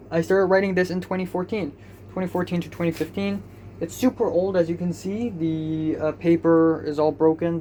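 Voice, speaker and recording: A man's voice: on the high side, mellow, slight background noise. Rambling young man vlogging at home. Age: young adult. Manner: rambling, self-mocking, chatty. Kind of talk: casual diary vlog.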